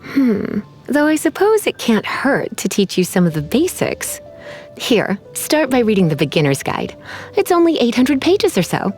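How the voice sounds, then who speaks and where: Sultry, teasing sorceress, lilting voice. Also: female voice, on the high side, sing-song, a little mellow, soft background music. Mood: teasing, musing. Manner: sultry, teasing, unhurried, coy. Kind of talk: video game character voice lines.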